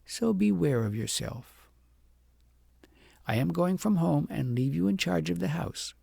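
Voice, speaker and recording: A man's voice: a little high, lively intonation, measured pace, vocal fry, dark tone, breathy edge, no echo, studio quality, voice pushed up, full character voice. A man voicing a stern but caring wise woman, solemn and admonishing, in a fairytale audiobook.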